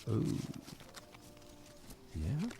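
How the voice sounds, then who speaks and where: An actor playing a weary, wry 1930s American newspaper columnist in an audio drama. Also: male voice, very slow, background noise. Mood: playful, musing. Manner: exasperated, dry, wry. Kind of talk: naturalistic audio drama acting.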